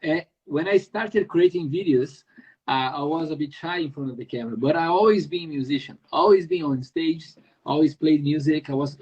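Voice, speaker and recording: A man's voice: a little high, darkish tone, slightly rough, muffled recording. A male host whose first language is Portuguese, chatting casually and enthusiastically, calling his guest "man". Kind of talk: live interview chat.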